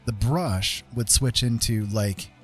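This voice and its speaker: Middle-aged male art streamer, lowish pitch, informal and unsure of himself, talking viewers through his process over faint music. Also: slow pace. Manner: self-deprecating, hesitant, informal. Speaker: livestreaming digital artist. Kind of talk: casual livestream tutorial chat.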